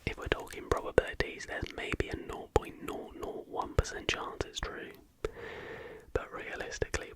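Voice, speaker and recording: A man narrating in an unvoiced, breathy whisper as the softly spoken host of an ASMR channel.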